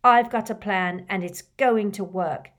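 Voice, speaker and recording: A sulky, defiant teenage boy wizard from a storybook, voiced by a woman reader. He sneers and snaps back, his voice pushed up and louder than the narration. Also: medium pitch, unhurried, pitched above the performer's own voice, close to an everyday voice. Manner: sneering, resentful.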